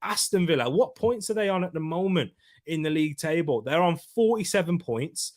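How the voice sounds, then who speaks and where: A man's voice: fairly high pitch, lively intonation, brisk, darkish tone. A man hosting a casual football preview live stream, chatting loosely and reading out and joking with viewer comments. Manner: chatty, reads out viewer comments, jokey banter. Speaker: football preview show host.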